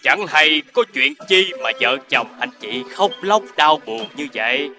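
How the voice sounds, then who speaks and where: A man voicing a helpful, neighborly animal father in an animated fairy tale. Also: fairly high pitch, measured pace, faint music behind, full character voice. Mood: helpful, concerned.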